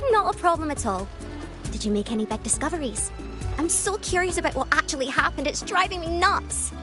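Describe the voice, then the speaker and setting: A woman's voice: high-pitched, expressive, fairly quick. An actress playing a chatty, friendly female tavern keeper in a video game, with a gushing, bouncy manner. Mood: eager, curious. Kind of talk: animated video game voice acting. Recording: loud background music.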